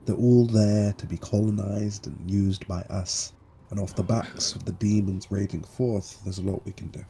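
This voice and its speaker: A scheming, bony summoner voiced by a man pitches a deal in formal phrasing, tripping over his words and restarting. His voice sits low. A faint room noise hums behind him. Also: steady tone, normal speed, warm tone, close to an everyday voice. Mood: persuasive. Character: scheming bony male summoner, smooth businessman. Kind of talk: tabletop roleplay in character.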